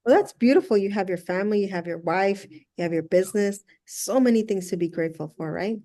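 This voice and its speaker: A woman's voice: medium pitch, brisk, dark tone. Middle-aged woman from El Salvador, earnest storyteller. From El Salvador, moved to the US at six. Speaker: interview guest, luxury house flipper. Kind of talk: conversational interview storytelling.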